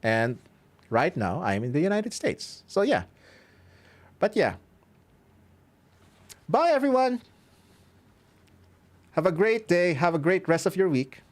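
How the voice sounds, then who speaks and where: A man's voice: high voice, big pitch swings, slow pace, pauses often. A man from the Philippines with a Filipino accent, chatting casually to his livestream audience as he wraps up, upbeat and chuckling often. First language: Filipino language. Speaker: streamer and violinist. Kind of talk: casual livestream sign-off to chat.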